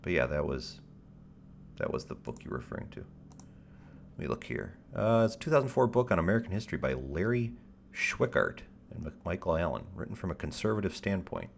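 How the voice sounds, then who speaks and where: A man hosting a livestream in casual chat, with a deep radio-style voice, rambling in stop-start phrases with a wry, self-deprecating tone and casual swearing. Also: big pitch swings, fairly quick, gravelly, a little hiss or hum. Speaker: livestream host.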